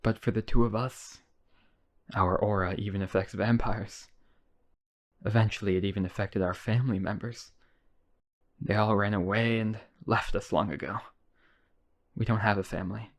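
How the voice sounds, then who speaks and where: Casting: male actor as devoted yandere vampire, low register, earnest coaxing delivery, intimate roleplay audio. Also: fairly even intonation, normal speed, pauses often, close to an everyday voice. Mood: wounded, sorrowful. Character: lonely, obsessive vampire brother, pleading devotion. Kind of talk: boyfriend ASMR roleplay audio drama.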